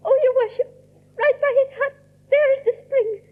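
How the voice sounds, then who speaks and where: Casting: fervent, scared colonial goodwife on the witness stand, very high weepy voice, radio drama, thin narrow-band sound. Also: female voice, normal speed. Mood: tearful fervour. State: tearful, quavering. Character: frightened, fervent Puritan village woman bearing witness. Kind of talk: audio drama acting.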